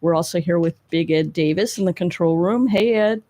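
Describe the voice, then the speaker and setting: A woman's voice: lowish voice, brisk, mellow. A woman hosting a live talk radio show, chatty and upbeat as she runs the segment.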